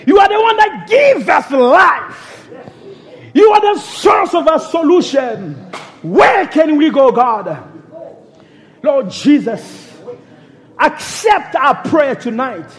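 High-voiced young preacher praying fervently. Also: male voice, sing-song, slow, shouting. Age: young adult. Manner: fervent, pleading, crying out "oh God" between phrases. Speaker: minister.

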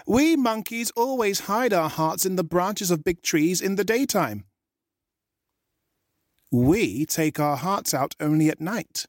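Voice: medium pitch, animated, slow, dry recording, studio quality, voice pushed up. A storyteller voicing a clever, cheeky little monkey in a children's tale. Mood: pleading, sly. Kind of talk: storybook reading.

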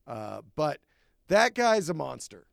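A man's voice: fairly high pitch, sing-song, slow, gravelly, fairly bright tone. A man from Alabama, a podcast guest, talking bluntly and sardonically in a rambling way. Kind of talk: casual comedic chat.

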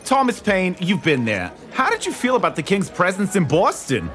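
An actor playing a genial elderly statesman who speaks in a lively, genteel way. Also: high voice, normal speed, faint music behind, full character voice. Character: genial old statesman hosting friends at a tavern.

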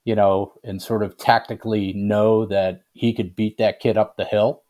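A man's voice: fairly low pitch, little pitch movement, moderate pace, warm tone. An older man being interviewed as an author, speaking conversationally and often checking in with the listener. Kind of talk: casual interview chat.

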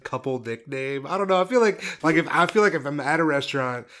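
A man with a somewhat high voice hosts a casual conversation. He sounds easygoing and playful and leans on "like" and "I feel like.". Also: fast talker, a bit bright. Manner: easygoing, playful, filler-heavy. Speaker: host. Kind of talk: casual chat.

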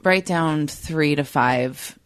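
A woman's voice: low-pitched, slow pace, no room sound, clean studio sound. A woman with a Minnesota accent hosting a conversational podcast, playful and self-deprecating. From Minnesota, United States. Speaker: podcast host.

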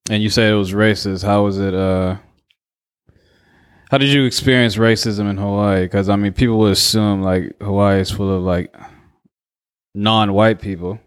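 A man's voice: lowish voice, fairly even intonation, moderate pace, long pauses, clear, resonant tone. An American man hosting a podcast in casual, slangy interview chat. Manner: informal, slangy, swearing. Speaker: podcast host.

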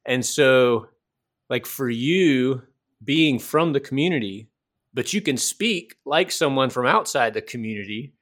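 A man's voice: medium pitch, animated, measured pace, a bit bright. A man from North Carolina who hosts a casual interview, musing aloud and asking open questions. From North Carolina, United States. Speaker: podcast host.

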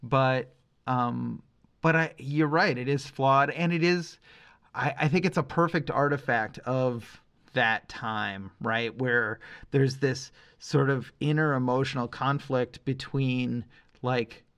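A middle-aged man with a medium-pitched voice talks as a podcast host. He rambles and thinks aloud, leaning hard on his opinions in loose, casual conversation. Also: takes it slowly, a bit bright, clean tone. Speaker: podcast host, music reviewer.

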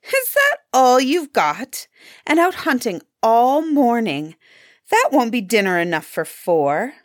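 A woman's voice: fairly high pitch, sing-song, slow, no echo, studio quality. A woman voicing a petulant, self-pitying peasant wife who scolds and complains in a storybook. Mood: angry, petulant.

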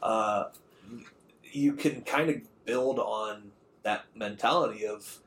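A man's voice: fairly low pitch, takes it slowly, a bit bright, poor audio. A man of about thirty co-hosting a podcast in a rambling, conversational way. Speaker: podcast co-host. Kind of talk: casual chat.

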